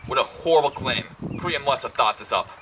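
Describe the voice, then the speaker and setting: A man's voice: medium pitch, brisk, fairly bright tone, slight background noise, lightly acted. A man playing a proud, suspicious, commanding king in an amateur Greek tragedy, speaking in formal declamation. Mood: angry, suspicious.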